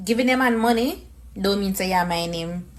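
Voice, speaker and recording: A woman's voice: medium pitch, moderate pace. A woman with a Jamaican accent talking casually and emphatically, mixing English with patois. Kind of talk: clip of casual opinion talk.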